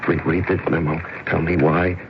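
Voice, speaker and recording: An American actor playing a middle-aged office man in a radio drama, pleading and obsessive, with a halting, emphatic delivery. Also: sits low, level tone, close to an everyday voice. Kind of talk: 1970s radio drama acting. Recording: muffled, hissy old radio recording.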